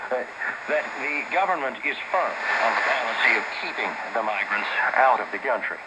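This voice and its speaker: Male radio newsreader, mid-pitched, scripted delivery, very noisy shortwave reception. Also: expressive, brisk. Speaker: radio news presenter. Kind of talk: scripted news reading.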